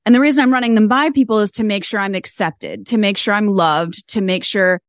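A woman speaking as a podcast guest, emphatic and animated, stacking her points in repeated phrases. Her pitch sits in a middle range. The sound is dry and close, with no room echo. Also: fairly quick. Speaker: guest, fashion stylist and business mentor. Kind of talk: podcast interview conversation.

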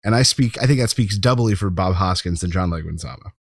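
A man's voice: sits low, fast, no room sound, clean studio sound. A man co-hosting a podcast, making his case about movies in casual, emphatic, opinionated talk. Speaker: podcast co-host. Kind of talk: casual film commentary, arguing a case.

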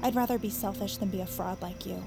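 A woman's voice: medium pitch, fairly even intonation, fast talker, vocal fry, music in the background, close to an everyday voice. A young woman playing a headstrong, defensive girl in an audio drama, with a blunt, defiant, sarcastic delivery. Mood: bitter, resolute. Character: headstrong, defensive girl plotting to fight monsters alone.

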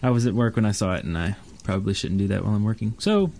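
A man's voice: lowish voice, lively intonation, rapid-fire, mellow. A man co-hosting a gaming podcast in an informal, chatty style. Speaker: podcast co-host. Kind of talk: casual chat on a gaming podcast.

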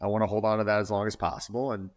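A man's voice: on the low side, little pitch movement, racing. Earnest fortyish man, fitness trainer. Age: 40s. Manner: earnest, repeats words when pressing a point. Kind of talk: podcast interview.

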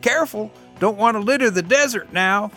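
A tinny, high old desert drifter. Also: male voice, a little fast, fairly bright tone, faint music behind. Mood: eager. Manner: drawling, folksy, chatty. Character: skinny old bearded desert drifter, cheerful and sly.

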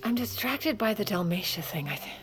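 A woman's voice: medium pitch, lively intonation, a little fast, music in the background, lightly acted. An actress playing a shrewd, sardonic First Lady who secretly steers the presidency in an audio drama. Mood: anxious scheming. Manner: dry, sardonic, conspiratorial.

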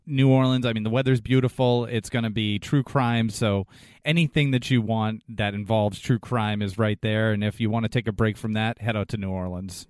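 A man co-hosting a podcast, telling stories in lively, teasing casual chat. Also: lowish voice, moderate pace, smooth, dry recording, studio quality. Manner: animated storyteller, playful teasing. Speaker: podcast co-host.

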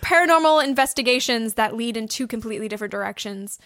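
A woman with a fairly high voice co-hosts a podcast in casual, enthusiastic chat. She talks informally, and the recording is dry, with very little room sound. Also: expressive, fast, a bit bright, dry recording. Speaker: podcast co-host. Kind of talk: casual chat recommending audio drama podcasts.

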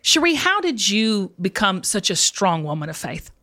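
A woman podcast host chatting casually and heartfelt with a guest, expressive and confiding, with emphatic affirmations. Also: mid-range voice, moderate pace. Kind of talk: casual heartfelt interview chat.

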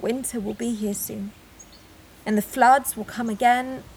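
A woman's voice: fairly high pitch, fairly even intonation, a little slow, a little mellow, breathy edge. A woman acting in an audio drama as an earnest, self-sacrificing woman, solemn and declamatory. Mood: solemn, resolute. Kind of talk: acted audio drama monologue.

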